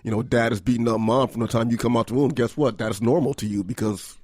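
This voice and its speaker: A man's voice: mid-range voice, fairly even intonation, quick pace, a little mellow. A man speaking as a guest on a radio panel, talking casually with many fillers. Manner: conversational, lots of fillers like "you know" and "like".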